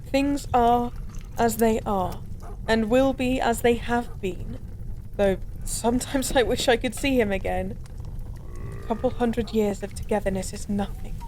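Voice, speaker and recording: A woman playing a slow, weary fallen goddess. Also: a little high, a little mellow, a little hiss or hum. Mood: resigned longing. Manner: slow, brooding, melancholy drunken rambling. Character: drunken fallen goddess, ancient, weary, wistful and resigned. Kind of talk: intimate dramatic audio roleplay monologue.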